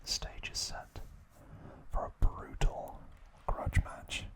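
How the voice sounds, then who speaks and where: A man speaking in a breathy, hushed ASMR whisper, in short halting phrases as he hosts a relaxed video.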